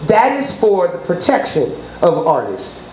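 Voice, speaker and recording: A woman's voice: fairly low pitch, wildly swooping pitch, measured pace, darkish tone. A middle-aged woman giving promotional interview talk as a radio personality, emphatic and boastful, often checking that the listener understands. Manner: emphatic, boastful, frequent rhetorical check-ins. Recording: roomy echo with clear background noise.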